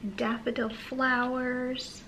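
Slow-talking woman reading to toddlers. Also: high voice, flat delivery, a little hiss or hum, poor audio. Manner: slow, sing-song reading with gentle asides. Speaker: children's storytime host and reader. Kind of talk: read-aloud storytime for toddlers, addressing young children. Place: small living room, on a sofa, indoors.

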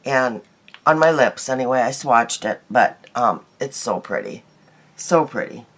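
A middle-aged woman vlogging her daily makeup in a small bedroom, chatty and homey, her voice hoarse from a cold. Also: very deep voice, lively intonation, a little slow. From United States. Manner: chatty, homey, laughs easily, trails off. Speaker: beauty vlogger. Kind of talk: casual daily makeup chat to camera.

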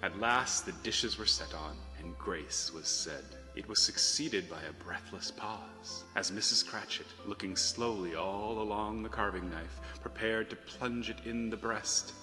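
A man narrating a storybook tale theatrically, with measured phrasing and emphatic swells. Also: on the low side, sharp tone. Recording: reverberant hall sound, music behind.

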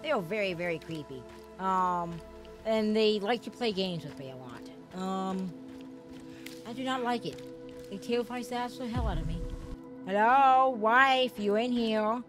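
A streamer with a voice prone to cracks, talking rambly through a horror game with many fillers. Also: female voice, mid-range voice, animated, a little slow, music in the background. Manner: rambling, filler-heavy, jumpy. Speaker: gaming streamer. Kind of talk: let's play commentary.

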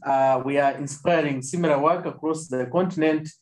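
A man, an organization director, presenting remarks at an online launch over a video call, pausing often on fillers. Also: a little high, fairly even intonation, darkish tone. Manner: hesitant, many uh fillers.

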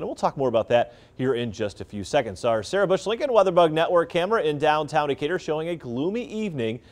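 A middle-aged man giving a chatty, brisk weather forecast in a TV studio. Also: on the high side, a little mellow. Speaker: TV meteorologist.

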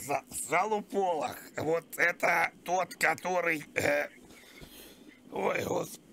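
Man putting on a creaky, whiny old pensioner. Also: a little high, takes it slowly, sharp tone, a little hiss or hum, heavily acted. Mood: whiny, confused. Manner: rambling, insistent, plaintive. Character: crude, whiny, pestering old male pensioner. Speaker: prank caller. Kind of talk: prank phone call improvisation.

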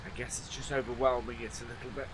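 A man's voice: mid-range voice, level tone, fast, background noise. Stuffy, slightly nasal man gaming. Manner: easygoing, thinking aloud as he works. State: stuffy from hay fever. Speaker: farming simulator role-play vlogger. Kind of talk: casual commentary while playing.

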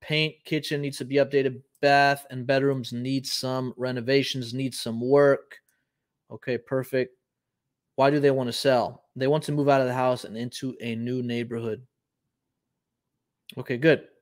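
A man's voice: medium pitch, level tone, measured pace. A man in his thirties from Puerto Rico, hosting a live show and explaining casually while thinking aloud with frequent ums. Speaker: host. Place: home office, indoors.

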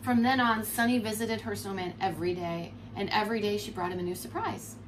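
A woman in her forties reading a storybook aloud to young children as a preschool teacher, expressive and explanatory, recorded indoors at home. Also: medium pitch, brisk. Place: furnished room at home, indoors. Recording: clear background noise.

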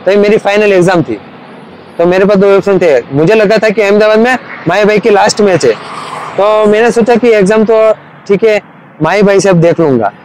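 A young man from Gujarat, a cricket fan, talking eagerly and haltingly in a casual outdoor street interview. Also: high-pitched, darkish tone, a little hiss or hum, rough recording. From Gujarat, India. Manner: eager, halting, with many restarts.

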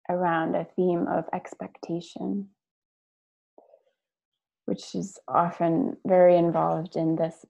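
Female meditation guide, gravelly fry in a dark, mellow voice, unhurried delivery, dull online-call audio. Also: fairly low pitch. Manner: measured, gentle, with long pauses between short phrases. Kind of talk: guided meditation, slow soothing instruction and reading aloud.

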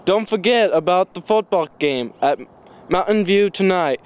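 A teenage boy giving a school sports roundup outdoors by a field, announcing briskly. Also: sits high, animated, background noise. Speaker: student sports reporter.

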